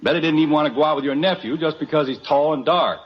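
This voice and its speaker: A man's voice: fairly high pitch, quick pace, slightly rough. An actor playing an exasperated, stubborn suburban husband and father in a 1950s radio sitcom, blustering and indignant. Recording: dull vintage radio recording.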